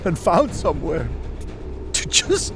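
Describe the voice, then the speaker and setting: A remorseful Peter played by a man tells his story in halting, stammering first person. His voice is fairly high and swings widely. Strong music plays underneath. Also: sing-song. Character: remorseful apostle Peter, a grown fisherman. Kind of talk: first-person dramatic narration.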